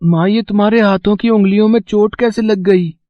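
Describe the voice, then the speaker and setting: A man's voice: high voice, steady tone, fairly quick, no echo, lightly acted. A man playing an earnest, defiant, devoted village son in a moral story. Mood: concerned. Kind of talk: dramatised Hindi moral story. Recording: dull lo-fi, very dry.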